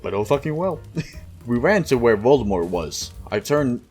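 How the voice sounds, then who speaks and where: A man's voice: mid-range voice, very animated, normal speed, darkish tone. Sweary man reading aloud, music behind. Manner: sweary, exasperated asides. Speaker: host reading a fan fiction aloud with commentary. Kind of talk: casual mocking read-aloud commentary.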